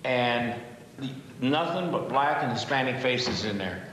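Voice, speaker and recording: A man's voice: medium pitch, expressive, a little slow, fairly bright tone. A man telling anecdotes informally in a documentary interview. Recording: very echoey room, slight noise.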